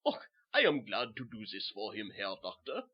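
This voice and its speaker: A man's voice: on the low side, wildly swooping pitch, normal speed, slightly rough, dull audio, pitched below the performer's own voice, full character voice. A man playing a hearty, coaxing German butcher with a German accent in a horror story. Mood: genial. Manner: genial, coaxing.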